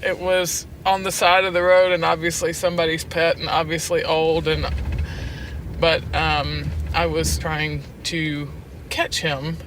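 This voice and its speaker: A woman with a Southern US accent talks casually and conversationally. She is recorded inside a moving car, with clear road noise behind her. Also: sits low, level tone, unhurried, a bit bright. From rural Alabama. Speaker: prison arts education program director, teacher. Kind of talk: casual conversation while driving. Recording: noisy moving car interior.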